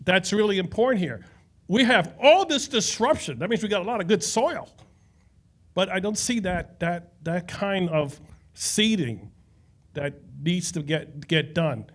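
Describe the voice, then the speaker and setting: An older man speaking up from the audience at a panel, rambling and halting with repeated words. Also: high-pitched, fairly bright tone. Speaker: audience member.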